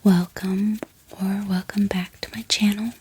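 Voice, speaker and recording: A woman hosting an ASMR channel, speaking in a gentle, hushed whisper with pauses. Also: mid-range voice, level tone, a little hiss or hum. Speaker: ASMR book channel host. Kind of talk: soft-spoken whispered ASMR.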